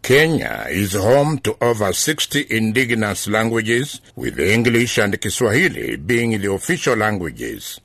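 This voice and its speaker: A man, a veteran correspondent, reading a scripted news report with lively delivery. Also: lowish voice, vocal fry, husky, no room sound, clean studio sound.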